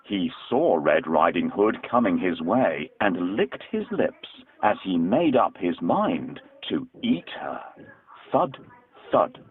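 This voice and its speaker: Male narrator, children's tale, slow delivery, very animated intonation, dramatic. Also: sits low, phone-quality sound. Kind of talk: scripted children's story narration.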